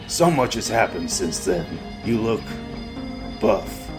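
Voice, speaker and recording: An actor playing a gruff, weary, angry judge in a cyberpunk audio drama, terse and brooding. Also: male voice, on the low side, big pitch swings, takes it slowly. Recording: loud music under the voice.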